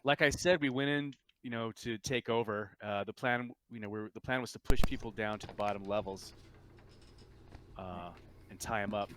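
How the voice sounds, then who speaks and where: A man's voice: on the low side, fairly even intonation, normal speed, fairly bright tone. A man narrating a tabletop roleplaying game as game master, describing things in a conversational, hesitant way. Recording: noticeable background noise.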